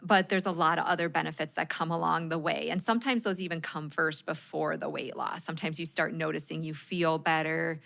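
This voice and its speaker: A woman's voice: low voice, steady tone, fairly quick, vocal fry, few pauses, fairly bright tone. A woman in her thirties hosting a radio talk show, chatty and affirming, often saying "like" and restarting her sentences. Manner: affirming, chatty, many fillers.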